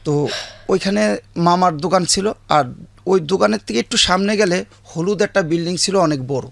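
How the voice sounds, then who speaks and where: Male guest, 57, Bangladeshi accent, gravelly, slightly high voice, plainspoken personal storytelling. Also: moderate pace, no room sound. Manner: plain, colloquial, repetitive. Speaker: guest telling his life story.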